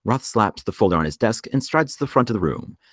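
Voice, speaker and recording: A man narrating a screenplay at a table read in a measured storytelling delivery. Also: on the low side, lively intonation, dry recording. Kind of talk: scripted narration of stage directions.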